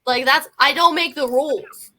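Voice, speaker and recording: A woman's voice: high voice, normal speed, pushed and bright. A woman chatting casually and wryly as a panelist in a movie discussion. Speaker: film podcast panelist.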